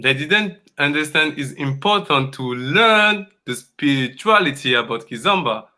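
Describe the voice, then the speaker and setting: A man coaching in an earnest, emphatic way, often repeating himself in halting English. His voice sits a bit high for a man and has a bright, forceful edge. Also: lively intonation. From Congo. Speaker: dance coach. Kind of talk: conversational coaching talk.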